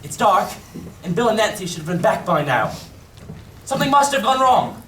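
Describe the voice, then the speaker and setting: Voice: mid-range voice, big pitch swings, normal speed, natural voice. Oily old thief master amateur actor, swooping wheedling delivery, distant noisy hall sound. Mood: anxious. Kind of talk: amateur stage radio play acting. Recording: distant echoey hall recording with clear noise.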